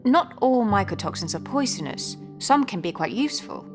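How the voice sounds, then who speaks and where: A woman's voice: mid-range voice, animated, moderate pace, over music, lightly acted. A woman playing an earnest scientist in a sci-fi audio drama. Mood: earnest, reasoning.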